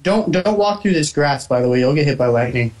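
A man's voice: on the high side, lively intonation, brisk, a little mellow. A man hosting a casual gaming session, guiding friends through a level and cursing at lag. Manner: guiding others, swearing at lag. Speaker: let's play host.

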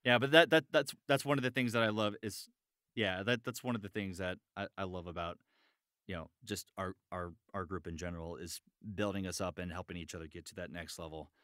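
A man with a bright, forceful voice hosts a casual podcast chat. He is chummy, circles back over his phrases, and sounds dry and close. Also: deep voice, fairly even intonation, fairly quick, no echo. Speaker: podcast host, voice actor.

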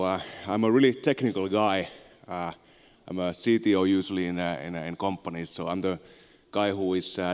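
Finnish guy w accent giving a talk on stage, really low voice, big reverby hall. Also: darkish tone. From Finland. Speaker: conference speaker, tech entrepreneur. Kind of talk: conference presentation. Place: on stage in a large auditorium. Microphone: handheld microphone. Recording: echoey large hall, long reverb.

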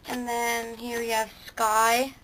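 A woman's voice: fairly high pitch, completely flat delivery, slow pace, background noise. A teenage girl giving a casual show-and-tell tour of her model horse stable, hesitant, with lots of ums and self-corrections. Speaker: toy horse barn video maker. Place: indoors at a homemade model stable. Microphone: handheld camera close to the toys.

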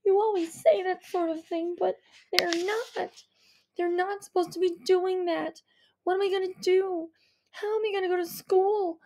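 A woman's voice: very high voice, fairly even intonation, unhurried, noisy background, voice pushed up. A woman voicing a frightened, upset teenage girl. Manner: distressed, pleading.

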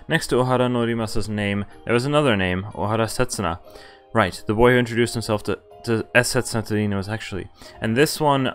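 Young guy with a lowish voice reading out a visual novel on stream, bit of casual commentary, music behind. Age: young adult. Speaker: game streamer. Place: indoor room, webcam stream. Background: game music.

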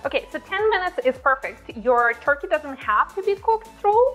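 A woman's voice: high-pitched, very animated, normal speed, fairly bright tone, soft background music. A woman, hosting a cooking tutorial in her home kitchen, chatty and bubbly on a lapel mic. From Ukraine. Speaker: cooking channel host. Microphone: clip-on lapel mic.

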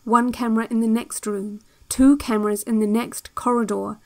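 A woman playing a calm, controlling cassette instructor in an audio drama, giving slow, measured, clinical guided meditation. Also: on the high side, close to an everyday voice. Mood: hypnotic, quietly insistent. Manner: measured, deliberate, hypnotic, clinical. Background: new-age music.

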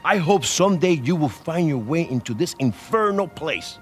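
An actor playing a corrupt middle-aged prison boss in a video game, with a Latin American Spanish accent and a sly, theatrical, menacing manner. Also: male voice, a little high, lively intonation, moderate pace, soft background music, natural voice. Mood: grandiose, insistent. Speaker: prison warden or guard. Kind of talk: video game cutscene acting.

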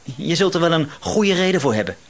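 An actor playing a paranoid, quietly menacing male shopkeeper in a Dutch radio drama, hesitant yet insistent. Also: fairly high pitch, lively intonation, creaky. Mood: accusing. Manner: hesitant, insistent, suspicious, drawn-out words.

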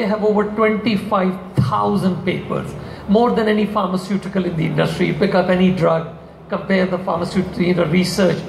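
An older man with a high, gravelly voice rambles through a casual panel answer, restarting and tossing in asides. He speaks into a handheld mic in a big convention hall, the sound bouncing off the room with faint background hum. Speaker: product formulator on a panel. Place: large convention hall stage.

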